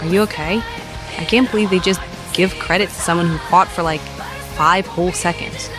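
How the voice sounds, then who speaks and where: A woman's voice: fairly low pitch, steady tone, a little fast, over music, lightly acted. A woman acting as a supportive, teasing friend and mother in an audio drama, speaking casually and conversationally.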